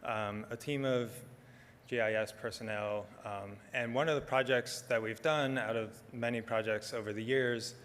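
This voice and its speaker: A soft-spoken middle-aged man giving a relaxed conference talk, with frequent ums as he walks through slides. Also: mid-range voice, moderate pace, in a large hall. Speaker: conference speaker. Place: conference hall.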